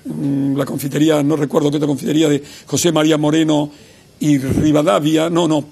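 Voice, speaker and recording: The man who fronts an evening studio talk show in Buenos Aires, local accent, raspy and fairly high, leaning hard on repeated words. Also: flat delivery, fairly quick, warm tone. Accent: Buenos Aires Spanish. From Argentina. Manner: emphatic, repeats words for effect. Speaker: television talk show host. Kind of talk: studio interview. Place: television studio.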